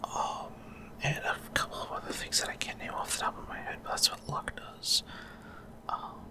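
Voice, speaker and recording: A man whispering casual, rambling gameplay commentary for ASMR in a breathy, unvoiced whisper, often hesitant as he searches for words. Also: moderate pace. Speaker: gaming ASMR creator.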